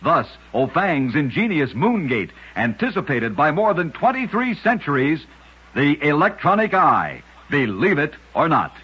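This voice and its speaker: A man narrating curious facts as an old-time radio host, with a husky voice and a dramatic, emphatic scripted delivery. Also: on the high side, very animated, measured pace, slight background noise. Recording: dull, lo-fi old radio recording.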